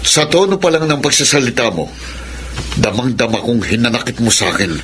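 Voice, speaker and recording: A man's voice: medium pitch, very animated, a little fast, noisy background, close to an everyday voice. An actor with a Filipino accent playing a bitter, suspicious father in a radio drama, sarcastic and mocking as he accuses. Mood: scornful, mocking. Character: bitter, suspicious, scornful middle-aged father.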